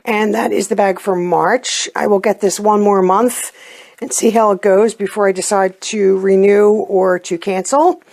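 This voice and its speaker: A middle-aged woman with a hoarse voice from a cold, chatting casually as she reviews beauty products and often pauses with ums. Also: mid-range voice, fairly even intonation, a little mellow. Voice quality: hoarse, clears her throat. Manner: chatty, plainspoken, hesitant with ums. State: getting over a cold, losing her voice. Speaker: unboxing vlogger.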